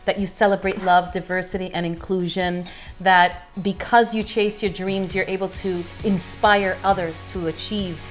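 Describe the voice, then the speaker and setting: A woman hosting a show, talking casually in an effusive, expressive way. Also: on the low side, normal speed. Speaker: cooking show host. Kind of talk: casual lifestyle show chat. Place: home kitchen, indoors. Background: background music.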